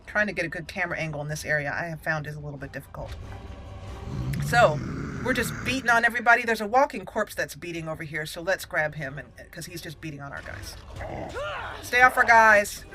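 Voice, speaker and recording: A woman's voice: lowish voice, brisk, over music. A woman giving casual, chatty video game commentary, talking through each step as she plays. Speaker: video game let's play host.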